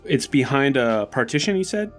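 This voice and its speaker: A man's voice: medium pitch, sing-song, normal speed, soft background music. A man playing a tabletop game, casually thinking aloud and analysing the scene in a conversational way.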